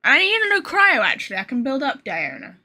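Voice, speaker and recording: A woman gives casual live gaming commentary. Her voice is high and bright with a rough, husky edge. She rambles excitably to her viewers. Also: brisk. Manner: excitable, rambling, swears freely. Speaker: game streamer.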